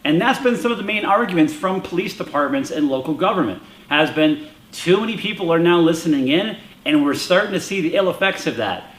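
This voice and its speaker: A man's voice: fairly high pitch, animated, fairly quick, a bit bright. An American man presenting explanatory opinion commentary in an emphatic, conversational way. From United States. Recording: roomy echo.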